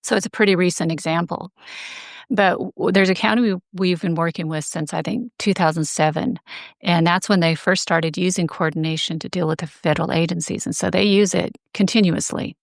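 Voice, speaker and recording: A woman explaining things as an interview guest, in a measured, detailed, conversational way. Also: on the low side, fairly even intonation, creaky, no echo, studio quality. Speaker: guest, advocacy group director.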